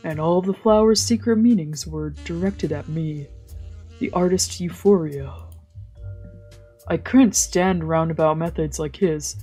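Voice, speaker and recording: Woman streamer, low-pitched and mellow, narrating a visual novel with offhand commentary over soft music. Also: a little slow, breathy edge. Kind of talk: dramatic let's play read-aloud with casual asides. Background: game music.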